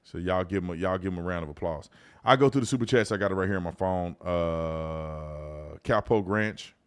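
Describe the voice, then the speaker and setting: A man's voice: low-pitched, moderate pace, clear, resonant tone. A middle-aged man hosting a live commentary show, chatting casually and colloquially to viewers and reading out their messages. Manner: conversational, colloquial, addressing viewers directly.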